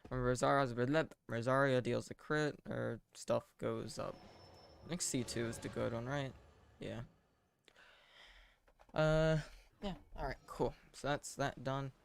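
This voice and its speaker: A man giving casual live game commentary in a deadpan, self-deprecating way, muttering asides to himself. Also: mid-range voice, measured pace, gravelly, long pauses, a little hiss or hum. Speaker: game streamer.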